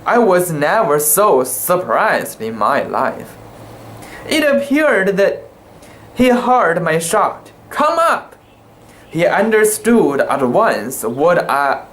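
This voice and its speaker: An amateur narrator reads a classic sea tale slowly and dramatically, with pitch that rises and falls widely. The delivery is halting and theatrical, over light background noise. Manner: halting, theatrical delivery with stumbles and self-corrections. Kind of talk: dramatic reading of a literary sea story.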